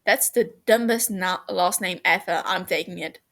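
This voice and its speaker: A woman reading a story as a brash, boastful and excitable teenage boy, loud, dramatic and quick to get defensive. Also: lowish voice. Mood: teasing.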